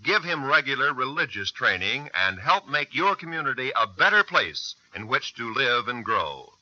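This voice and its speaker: An American man reading a scripted 1950s radio announcement in a formal, measured way. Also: medium pitch, sing-song, bright, forceful tone, no echo. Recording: dull, dry old radio recording.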